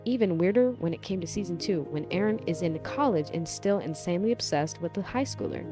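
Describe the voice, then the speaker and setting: A woman with a lowish voice gives critical, scripted commentary. She speaks as a video commentator, and music plays clearly behind her. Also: animated, a little fast. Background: background music.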